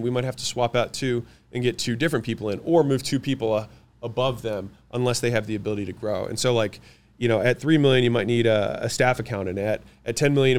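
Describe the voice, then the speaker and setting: A man whose first language is French, answering interview questions about running companies, confident and quick, listing his points one after another. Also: medium pitch, smooth. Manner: fast, confident, lists points in quick runs. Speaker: guest, business owner.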